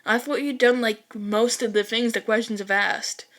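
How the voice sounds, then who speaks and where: A woman's voice: on the high side, fairly even intonation, normal speed, a bit bright, natural voice. A woman voicing a friendly, casual teenage boy in a story, puzzled and questioning in a slangy way.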